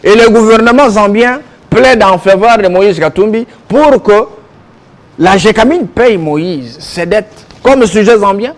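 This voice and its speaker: A man with a Congolese French accent speaks as a political guest in a televised debate. His voice is notably high for a man. He argues insistently, stressing and repeating his points. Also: lively intonation, a little slow. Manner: insistent, argumentative, emphatic, repeating himself. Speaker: political guest, youth league president. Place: television studio.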